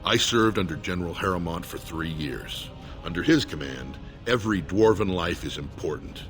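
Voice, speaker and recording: A man playing a loyal, earnest dwarven soldier with a formal, measured delivery in a video game. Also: low voice, lively intonation, a bit bright, soft background music. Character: loyal dwarven soldier, solemn supporter of his lord. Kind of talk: scripted video game dialogue.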